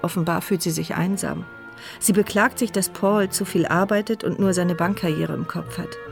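Paralysed young woman, inner monologue, reflective, measured, radio drama, faint music. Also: fairly low pitch, fairly even intonation, vocal fry. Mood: hopeful. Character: paralysed young woman, narrator of her story.

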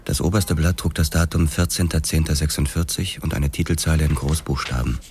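An actor playing a laconic ex-boxer policeman who narrates a radio drama in measured, matter-of-fact storytelling. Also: extremely low pitch, steady tone, slight background noise. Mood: laconic, tense. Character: laconic young male ex-boxer cop, first-person narrator. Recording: loud music behind the voice.

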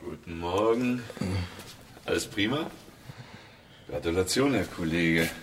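A man's voice: sits low, takes it slowly, a little hiss or hum. An actor playing a smug, evasive security policeman with a glib, patronising manner in naturalistic radio drama dialogue. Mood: mock jovial. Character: smug, evasive male state security policeman, falsely jovial.

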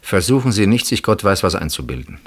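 A cool, evasive husband in a radio drama. His voice sits low, and he speaks in a controlled, deliberate way, brushing things aside. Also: big pitch swings, slightly rough, heavily acted. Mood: placating. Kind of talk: German radio play acting.

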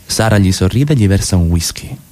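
A man's voice: sits low, animated, brisk, warm tone. A man reading out stage directions as the narrator of a radio play.

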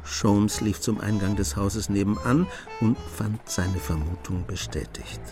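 A man's voice: lowish voice, unhurried, music in the background. A man narrating a crime comedy radio play as a composed storyteller with dry, understated wit. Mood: composed, wry.

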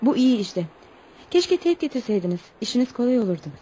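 A woman's voice: on the high side, very animated, a little fast, warm tone. An actress in a Turkish radio play voicing a young Eskimo girl, formal and polite, proud and eager in a naive way. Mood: curious, chatty. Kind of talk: Turkish radio theatre acting. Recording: noisy radio play recording.

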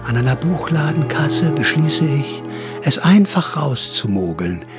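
A man's voice: on the high side, big pitch swings, a little slow, loud music behind the voice. An actor playing a sly older counterculture veteran who narrates his memories in a radio drama, confiding, wry and theatrical. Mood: confessional, ironic.

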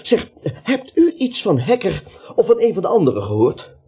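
Nervous wimpy little man in an old radio drama, rambly and stuttery, real lively pitch, muffled sound. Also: moderate pace, slightly rough, exaggerated voice. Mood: nervous chatter. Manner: jittery, rambling, stammering. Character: anxious, timid little man, a cowardly reluctant vigilante. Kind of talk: radio play acting. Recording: dull, slightly noisy radio recording.

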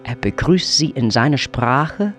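A man narrating a radio drama in a measured storytelling manner. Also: mid-range voice, animated, fairly bright tone. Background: music.

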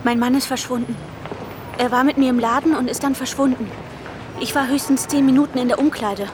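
An actress playing an anxious wife searching for her missing husband in a radio drama, urgent and breathy, often slipping toward a whisper. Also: sits high, fairly even intonation, fairly quick, background noise, natural voice. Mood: panicked. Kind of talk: radio drama acting with intimate inner monologue. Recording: guitar music behind the voice. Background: guitar music.

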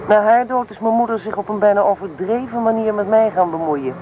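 An actress playing a headstrong, teasing young student in a radio play, blunt and self-assured in naturalistic dialogue. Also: female voice, medium pitch, brisk, phone-quality sound, close to an everyday voice. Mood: frank, reflective. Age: young adult. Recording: noisy old radio play recording.

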